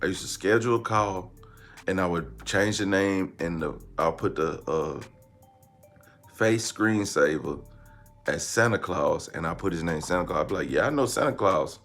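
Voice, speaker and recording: A man's voice: low-pitched, moderate pace, a bit bright, faint music behind. An American man giving casual comedic commentary, slangy and blunt, repeating phrases for emphasis. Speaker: online commentary host.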